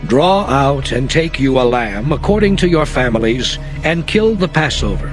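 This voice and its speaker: A man voicing God in a dramatized scripture reading, proclaiming laws in solemn, measured phrases over music. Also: medium pitch, lively intonation, natural voice. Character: the Lord, commanding divine voice giving laws. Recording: music under the voice.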